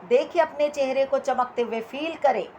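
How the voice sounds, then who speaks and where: A woman leading a guided meditation as instructor, with soothing, repetitive phrasing and pauses between short instructions. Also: high-pitched, mellow, a little hiss or hum.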